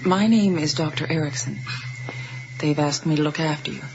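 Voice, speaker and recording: An actress playing a calm, patient doctor in a radio drama, measured and gently probing, with a dry edge. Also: female voice, deep voice, gravelly, highs cut off, noisy background. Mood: patient, coaxing. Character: calm, patient female doctor on hospital duty. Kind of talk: 1980s radio drama acting. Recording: band-limited 1980s radio drama, loud music.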